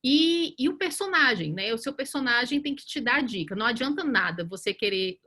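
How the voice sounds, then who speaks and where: A woman in her forties, a communications professional from Brazil, speaking as a panelist on a webinar in a chatty, animated way with many fillers and restarts. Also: fairly high pitch, brisk. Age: 40s. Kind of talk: casual webinar talk.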